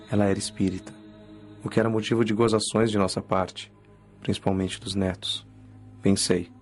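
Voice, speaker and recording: A man's voice: on the low side, darkish tone, lightly acted. Man playing a remorseful young spirit, restrained delivery, music behind. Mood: somber, remorseful. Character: remorseful young male spirit, recovered drug addict. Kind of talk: dramatized audiobook narration.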